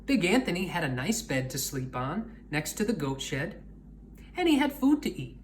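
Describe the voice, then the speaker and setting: A man reading a children's picture book aloud as a storyteller, in an expressive manner. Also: on the high side, normal speed, slight background noise. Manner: animated, expressive.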